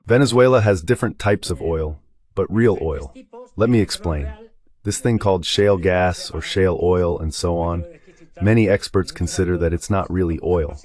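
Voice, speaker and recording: A man's voice: low voice, moderate pace, darkish tone. A man speaking as the translated voice-over of a guest analyst, delivering political commentary.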